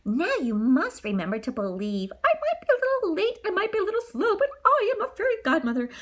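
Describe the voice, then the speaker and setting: A woman's voice: very high-pitched, wildly swooping pitch, brisk, voice pushed up, heavily acted. A woman voicing an elderly, kindly, absent-minded fairy godmother in a read-aloud storybook. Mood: fussing kindly. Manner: fussy, rambling, absent-minded.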